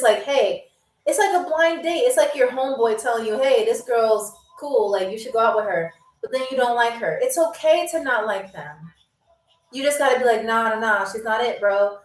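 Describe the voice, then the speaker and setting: A woman's voice: a little high, lively intonation, moderate pace, distant mic. A woman from New York hosting a live-streamed trivia game show, chatting with viewers, laughing often and reading their comments aloud. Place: room in Albania. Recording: echoey room, voice a bit off-mic.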